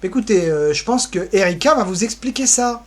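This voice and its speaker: A man's voice: high-pitched, lively intonation, brisk. A man giving an informal website walkthrough, hesitant and rambling, with playful asides. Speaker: presenter.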